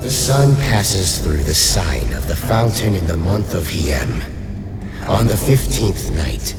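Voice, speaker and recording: An extremely deep, slow undead sorcerer, ancient and coldly imperious. He speaks in cryptic, commanding pronouncements for a dark fantasy radio play, with loud ominous music beneath him. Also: big pitch swings, full character voice.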